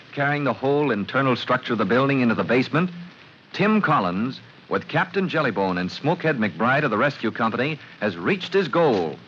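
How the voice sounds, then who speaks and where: Male 1940s radio announcer, sharp dramatic narration, slightly noisy vintage sound. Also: medium pitch. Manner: dramatic, declamatory. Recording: muffled old radio recording, faint noise.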